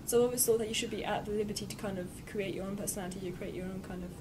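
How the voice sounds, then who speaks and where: Young student girl thinking out loud, rambly, kinda far from the mic, noisy. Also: mid-range voice, fairly even intonation, rapid-fire. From Pakistan. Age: young adult. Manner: thoughtful, rambling, hesitant. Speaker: sixth form student. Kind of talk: candid group discussion. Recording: distant mic with noise.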